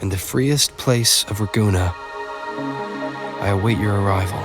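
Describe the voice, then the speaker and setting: An actor playing a calm, wary young male wanderer, giving measured, reflective inner monologue in a fantasy game. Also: fairly low pitch, lightly acted. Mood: pensive. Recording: music and rain behind the voice.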